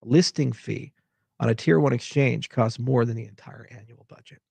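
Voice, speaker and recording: Man, from Hawaii, very gravelly, husky, dry close sound, exasperated rambling monologue. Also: mid-range voice, normal speed, darkish tone, no echo. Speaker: tech founder giving commentary. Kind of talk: unscripted monologue.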